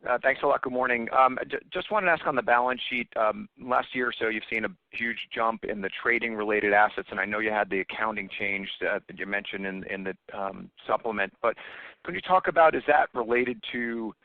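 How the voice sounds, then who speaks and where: A man speaking as a financial analyst, politely and haltingly putting a technical question on a conference call. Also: fairly low pitch, dry recording. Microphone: phone call. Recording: dry, tinny phone line.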